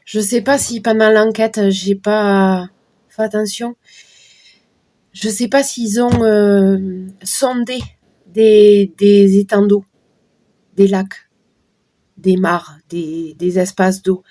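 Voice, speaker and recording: A hoarse, middle-aged woman talking her viewers through a tarot spread in a loose, chatty way. Her voice sits at an ordinary pitch, and she often drifts into asides while she shuffles. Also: fairly even intonation, slow, long pauses, mellow. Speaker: card reader. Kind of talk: casual card-reading livestream talk.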